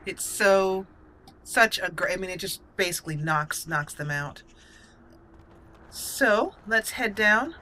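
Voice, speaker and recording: A woman's voice: mid-range voice, animated, slow pace, long pauses, bright, forceful tone, slight background noise, poor audio. A woman hosting a roleplay let's play of a fantasy game, thinking aloud as she muses over her choices. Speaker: gaming channel host and player.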